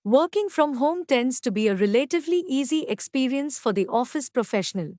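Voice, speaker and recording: High, lively woman reading aloud. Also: brisk, dry recording, clean studio sound. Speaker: sample exam reader.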